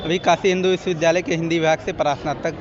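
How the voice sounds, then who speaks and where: A man's voice: fairly high pitch, steady tone, quick pace. A young man from Ambedkar Nagar, a graduate, speaking matter-of-factly outdoors. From Ambedkar Nagar, India. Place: outdoors at a university convocation. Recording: muffled outdoor recording with crowd noise.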